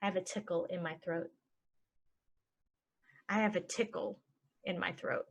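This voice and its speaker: A woman teaching English phrases, saying each one and then repeating it slowly and clearly for learners. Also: on the low side, fairly even intonation. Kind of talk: slow phrase-by-phrase language lesson.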